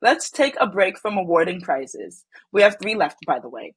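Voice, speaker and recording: A woman's voice: fairly low pitch, sing-song, normal speed, slightly rough. A woman hosting a show in a cheerful, chatty scripted style. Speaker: show host.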